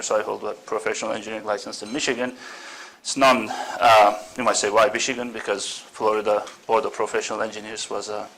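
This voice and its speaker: A man's voice: fairly low pitch, a bit bright, slight background noise, rough recording. A middle-aged man from Turkey giving an informal academic seminar talk, hesitant with many fillers and self-deprecating asides. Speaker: seminar speaker, senior lecturer. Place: seminar room.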